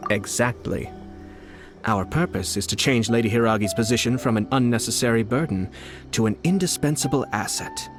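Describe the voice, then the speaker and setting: An actor playing a composed, scheming young noble strategist in a game, speaking in a measured, persuasive way. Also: male voice, medium pitch, music in the background, close to an everyday voice. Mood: calm scheming. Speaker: game character. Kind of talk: game voice acting.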